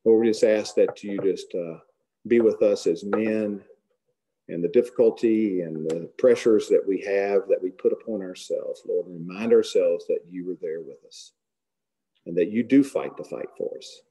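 Middle-aged male guest speaker, mellow low voice, earnest sermon-style talk, softly trailing phrases. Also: low-quality recording. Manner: earnest, unhurried, trailing off softly at line ends. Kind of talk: devotional talk and prayer. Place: large hall. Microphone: camera close below the face.

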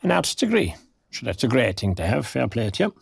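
A friendly older Irish man, retired and fond of a chat, in a naturalistic audio drama. His voice is husky and full of creak, and he talks in folksy, old-fashioned phrases. The recording is close and dry. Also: mid-range voice, sing-song, brisk, no echo, heavily acted. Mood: encouraging, genial.

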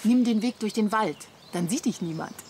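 An actress playing a dry, sarcastic, long-suffering middle-aged wife in a German radio play. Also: female voice, medium pitch, moderate pace, darkish tone, a little hiss or hum, close to an everyday voice. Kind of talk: German audio drama dialogue.

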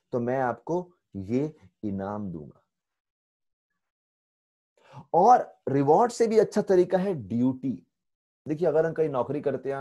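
Indian male spiritual teacher in his 40s, mellow tone, lively storyteller, mixes English into Hindi, earphone mic. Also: mid-range voice. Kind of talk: online spiritual lecture. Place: temple room indoors. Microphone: earphone headset mic on video call.

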